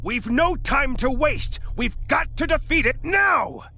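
Urgent young game hero, earnest, really sharp high voice, loud music. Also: male voice, lively intonation, slow, full character voice. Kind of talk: video game cutscene acting.

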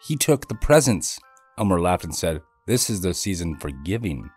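A man's voice: low voice, sing-song, normal speed, a little mellow, breathy edge, soft background music. A man co-hosting a children's story read-aloud. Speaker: co-host reading aloud.

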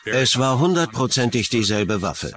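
A man's voice: mid-range voice, sing-song, moderate pace, music in the background. A man speaking a documentary interview voice-over as an investigator.

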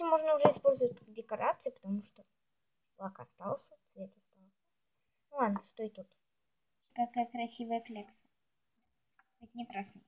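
A woman video blogger chatting casually and ramblingly to herself and her viewers while showing things, chewing gum as she talks. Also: mid-range voice. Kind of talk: casual chatty nail polish show-and-tell.

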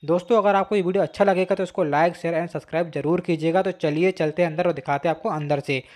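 A man's voice: fairly high pitch, fairly even intonation, fast, darkish tone. A man, narrating a vlog as he walks through a stadium, chatty and addressing viewers as friends, filmed on a handheld phone. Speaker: vlogger. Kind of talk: walking tour vlog narration. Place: inside and around a stadium, walking. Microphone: phone camera held while walking.

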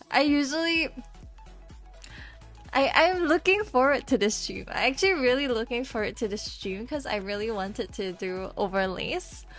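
A tired young woman streamer with a slightly scratchy voice, chatting casually while she draws, soft and halting, stammering and giggling often. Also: a little high. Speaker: VTuber streamer. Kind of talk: casual livestream chat while drawing.

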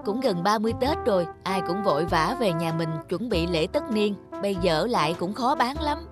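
A woman's voice: medium pitch, expressive, a little fast, natural voice. A kindly woman vendor from a cartoon, acted by a woman. She sounds resigned and caring as she advises, over background music.

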